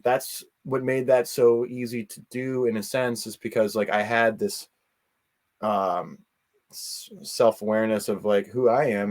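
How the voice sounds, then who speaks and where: A man's voice: mid-range voice, a little mellow. Earnest, rambling man in casual interview. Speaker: interview guest, convention organizer.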